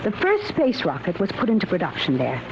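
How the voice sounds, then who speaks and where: A high-voiced, confident lieutenant, vintage radio. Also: male voice, wildly swooping pitch, heavily acted. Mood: earnest, explanatory. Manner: brisk, matter-of-fact, explanatory. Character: composed, confident male army lieutenant of the future. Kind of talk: old-time radio drama acting. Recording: noisy, muffled vintage radio recording.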